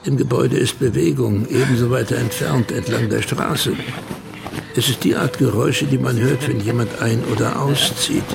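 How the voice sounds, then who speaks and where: An actor narrating a literary radio drama as a brooding, intimate storyteller, terse and solemn, with a gravelly voice. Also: male voice, mid-range voice, moderate pace. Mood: somber, detached. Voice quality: gravelly, creaky. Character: brooding second-person narrator, intimate and fatalistic.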